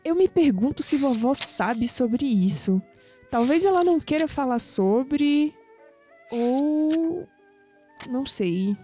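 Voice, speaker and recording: A woman's voice: high voice, expressive, takes it slowly, mellow, soft background music. An actress playing a curious young Brazilian woman in an audio drama. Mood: curious, intrigued. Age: young adult. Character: curious young woman uncovering family secrets, sceptical.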